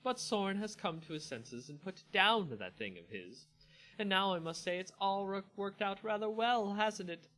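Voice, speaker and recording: A man's voice: high-pitched, animated, moderate pace, bright, forceful tone, voice pushed up, low-quality recording. A man voicing a gleeful, imperious female vampire hostess with a theatrical, gushing and conspiratorial manner in a dramatic story reading. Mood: smug, gloating.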